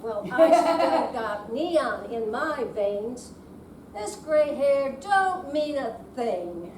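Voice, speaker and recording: A woman's voice: high-pitched, sing-song, very slow, darkish tone. An older woman reciting song lyrics as spoken lines in an amateur live performance. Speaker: amateur readers theater performer. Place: indoor senior center room, live performance. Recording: roomy, distant mic, faint noise.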